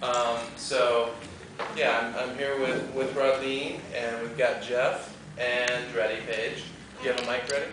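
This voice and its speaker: A man's voice: medium pitch, little pitch movement, unhurried. A man, a film director, speaking casually at a live question and answer session on a theater stage. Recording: very echoey, noisy theater, distant pickup.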